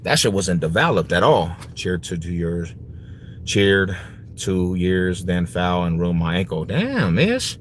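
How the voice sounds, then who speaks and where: A man's voice: low voice, sing-song, a little slow. A man in his forties chatting casually on a livestream, slangy and prone to repeating his phrases as he replies to viewers. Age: 40s. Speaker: livestream host. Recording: noticeable background noise.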